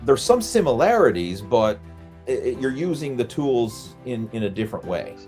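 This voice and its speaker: A man hosts a fitness podcast, talking in an easygoing, friendly way. His voice sits at a middle pitch, and faint music plays underneath. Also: sing-song, moderate pace. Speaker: podcast host. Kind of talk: casual interview talk.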